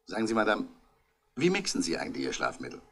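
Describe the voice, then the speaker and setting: An unhurried police inspector in a vintage radio crime play. His voice is fairly low with lively inflection, courteous and measured as he quietly probes. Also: full character voice. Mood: probing. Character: calm, shrewd, unhurried French police inspector. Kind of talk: 1960s German radio crime drama acting.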